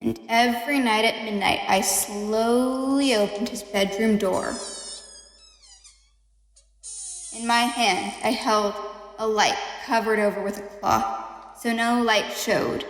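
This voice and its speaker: A woman's voice: on the high side, fairly even intonation, a little slow. A woman playing an unhinged killer who insists on being sane, in an intense, dramatic gothic horror audio play. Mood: sly, secretive. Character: unhinged killer insisting on their own sanity. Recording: echoey room with long reverb.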